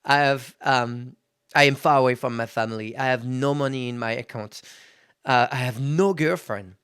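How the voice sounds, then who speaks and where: A man's voice: medium pitch, normal speed, a bit bright, smooth. Candid, swearing man in his thirties. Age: 30s. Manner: candid, swears freely, addresses listeners as guys. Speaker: podcast host. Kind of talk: casual motivational monologue.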